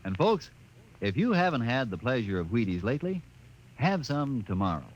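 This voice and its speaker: A man's voice: medium pitch, very animated, normal speed. A man delivering a 1950s radio commercial in an upbeat, salesy way. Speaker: sponsor announcer. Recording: dull lo-fi radio with loud hiss.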